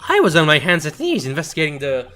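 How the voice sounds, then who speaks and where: A woman voicing a florid, pompous old male antique dealer from a video game, with ornate, drawn-out phrasing. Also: deep voice, huge pitch swings, a bit bright, faint music behind, exaggerated voice.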